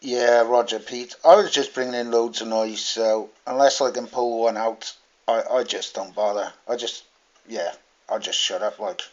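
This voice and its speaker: Low-key guy on a two-way radio, short replies, muffled lo-fi, medium pitch. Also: fairly even intonation, normal speed, slight background noise. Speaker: radio operator. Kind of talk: casual radio chat.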